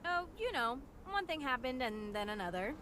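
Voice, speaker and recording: A woman's voice: high voice, sing-song, normal speed, sharp tone, slight background noise, exaggerated voice. A woman playing a brash, teasing, battle-worn fighter with a flippant, wry manner in an anime-style video game.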